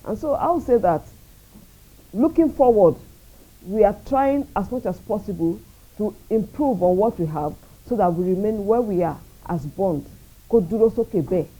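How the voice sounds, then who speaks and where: Very dark-voiced Nigerian woman. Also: mid-range voice, breathy edge, poor audio. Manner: measured, earnest, proud. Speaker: radio station executive. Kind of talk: interview answers.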